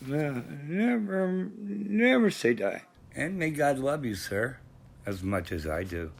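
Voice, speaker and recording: A man's voice: a little high, sing-song, slow pace, gravelly, heavily acted. A man playing a devoted elderly servant in an audio drama, formal and deferential, with a quavering, faltering voice. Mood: brave, tearful.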